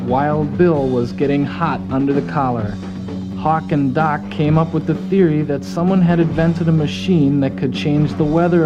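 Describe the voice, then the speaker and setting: A man's voice: a little high, muffled recording, close to an everyday voice. A man playing a folksy, gung-ho soldier who narrates his team's mission in a scripted audio drama. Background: music.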